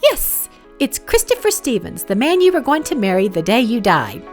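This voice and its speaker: A woman's voice: on the high side, huge pitch swings, normal speed, faint music behind. A woman voicing a wry, knowing, good-humoured woman mentor from above in a storybook reading. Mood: teasing, knowing.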